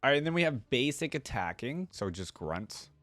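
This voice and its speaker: A man's voice: mid-range voice, big pitch swings, moderate pace, fairly bright tone, dry recording. A man hosting a reaction video, commenting casually in an easygoing, amused way.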